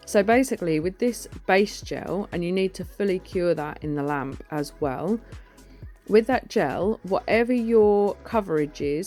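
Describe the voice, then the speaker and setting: A woman with a husky voice giving a chatty, informal voice-over for a nail tutorial, recorded on a phone. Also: low voice, darkish tone. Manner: chatty, informal, self-deprecating. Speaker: nail artist and educator.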